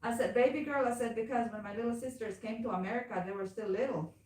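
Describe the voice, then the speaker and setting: A woman's voice: a little high, fast talker, recorded from a distance. Chatty woman with a Caribbean accent. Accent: Caribbean English. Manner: animated storyteller, acts out quoted voices. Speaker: nail shop owner. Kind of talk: casual chat while working. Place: nail salon. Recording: echoey room, mic set back.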